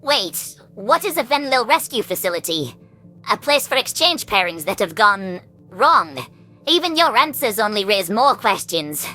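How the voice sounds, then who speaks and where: A wary female insectoid general acted by a man, speaking in a high, sharp voice with lively swoops. The manner is formal and suspicious, suited to a science fiction audio drama. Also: a little slow, soft background music, exaggerated voice. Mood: puzzled, wary.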